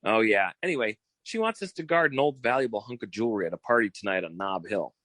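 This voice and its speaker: An actor playing a cocky, boastful, theatrical private detective in a 1940s style radio comedy, pitching himself in a showy, wheedling way. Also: male voice, expressive, fast talker, fairly bright tone, no echo. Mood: breezy, scheming. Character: cocky, theatrical fast-talking male private detective eager for partnership.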